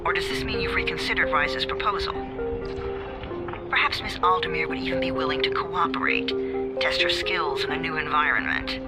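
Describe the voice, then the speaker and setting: An actor playing a cold, manipulative agency handler in a video game, condescending and calculating. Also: male voice, fairly high pitch, moderate pace, loud music behind the voice, close to an everyday voice. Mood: cold pressure. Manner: condescending, smooth-talking, calculating.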